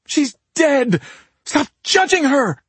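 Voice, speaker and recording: An anguished, defensive woman from an audiobook, voiced by a male narrator, pitched high above his own voice. She is shrill and pushes hard on her words. The sound is dry. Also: moderate pace, breathy edge, no echo. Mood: hysterical. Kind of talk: audiobook character acting.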